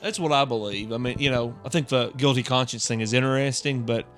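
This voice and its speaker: Mid-pitched man chatting on a podcast. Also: fast, fairly bright tone, soft background music. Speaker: podcast co-host. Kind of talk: casual chat.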